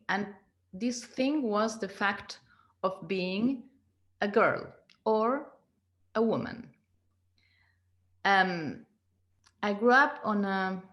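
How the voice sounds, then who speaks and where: Slow-talking woman in her fifties. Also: mid-range voice. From Argentina. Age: 50s. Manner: slow, searching, repeats words. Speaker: guest, leadership coach. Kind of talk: interview answers. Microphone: video call.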